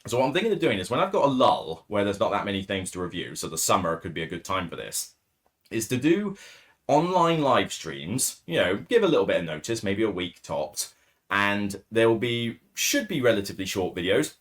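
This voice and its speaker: A man with a raspy, hoarse voice, hosting a solo podcast in casual, rambling talk full of fillers and self-corrections. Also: on the low side, sing-song, a little fast. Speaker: podcast host. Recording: distorted, too-loud mic.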